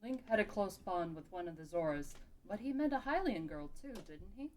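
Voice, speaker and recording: A woman's voice: medium pitch, lively intonation, fairly quick, a little mellow, a little hiss or hum, close to an everyday voice. A woman playing a stern, protective warrior guardian in an amateur fantasy table read, blunt and firm. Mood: measured. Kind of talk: amateur table read of a game fan script.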